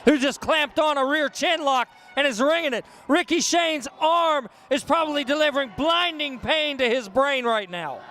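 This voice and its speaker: A man with a very high voice yells wrestling commentary, his voice worn hoarse. He gets excitable and pleads loudly at the action. Steady noise from the arena sits under his voice. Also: moderate pace, hardly any pauses. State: losing his voice.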